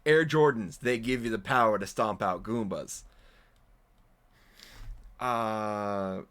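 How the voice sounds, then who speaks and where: A man giving casual playthrough commentary, incredulous and joking, often halting as he types. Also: lowish voice, a bit bright. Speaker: streamer playing an AI storybook game.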